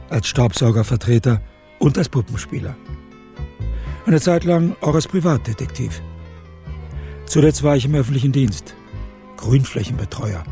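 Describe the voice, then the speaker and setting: Male actor as spry working-class pensioner, sixties, dark mellow voice, clipped, plain-spoken, radio play. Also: mid-range voice, creaky, close to an everyday voice. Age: 60s. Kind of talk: crime radio play dialogue.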